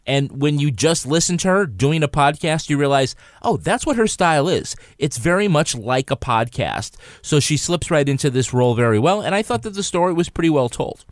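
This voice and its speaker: A man's voice: a little high, expressive, moderate pace, hardly any pauses, no room sound, clean studio sound. A man giving casual reviews as a panelist on a podcast.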